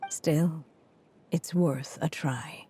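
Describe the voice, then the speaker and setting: Elderly woman writer, very slow, lowish pitch, soft, wistful, stubbornly resolute, game drama. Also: a little hiss or hum. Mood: determined. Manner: slow, deliberate, contemplative.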